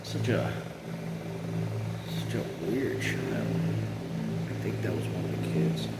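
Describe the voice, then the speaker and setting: A man narrating a novel aloud in a measured, plain manner with occasional stumbles. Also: on the low side. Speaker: read-along narrator. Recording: loud steady air or car noise.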